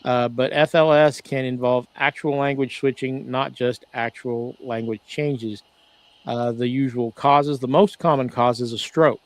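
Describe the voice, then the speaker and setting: A man co-hosting a casual podcast discussion over a video call, talking in a rambling, halting way with lots of "uh" restarts. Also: mid-range voice, fairly even intonation, no room sound. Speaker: podcast co-host.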